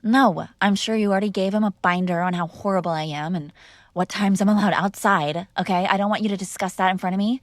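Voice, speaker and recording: A woman's voice: mid-range voice, fairly even intonation, fast, dry recording, clean studio sound. A young woman performing an audio roleplay as a defiant, sarcastic mob boss's daughter arguing with her father. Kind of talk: scripted ASMR girlfriend roleplay.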